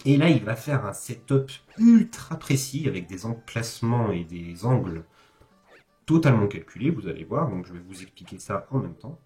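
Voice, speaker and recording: Low-voiced man commentating over music. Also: big pitch swings, normal speed, mellow. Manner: hesitant, self-correcting, informal asides. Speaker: video game commentator, host. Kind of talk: casual speedrun commentary, explanatory. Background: background music.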